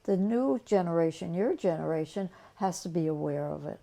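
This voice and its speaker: A woman's voice: lowish voice, animated, moderate pace, creaky, dark tone. A woman in her late eighties reminiscing in conversation, plain-spoken and emphatic. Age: late 80s. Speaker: great-aunt sharing family testimony.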